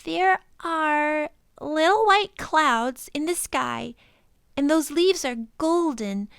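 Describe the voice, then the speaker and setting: A woman's voice: sits high, expressive, slow pace, gravelly, a bit bright, dry recording, clean studio sound, voice pushed up, heavily acted. A woman voicing an earnest, amazed child in a children's storybook, drawing out words with wonder. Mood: observant, eager. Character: wide-eyed child, earnest and amazed, discovering things. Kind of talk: children's storybook read-aloud.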